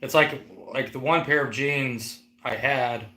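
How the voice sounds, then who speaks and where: A man's voice: mid-range voice, measured pace, sharp tone, reverberant. A man in his forties co-hosting a casual live-stream chat, rambling and teasing, with lots of "like". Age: 40s. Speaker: co-host.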